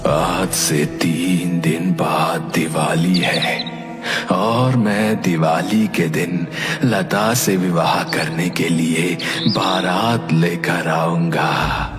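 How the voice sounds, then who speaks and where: Man voicing a slow, deep, menacing ghost. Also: steady tone, in a large hall, over music. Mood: menacing, obsessed. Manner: slow, deliberate, menacing delivery. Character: menacing male ghost, lovesick and obsessed suitor. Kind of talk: Hindi animated horror story acting.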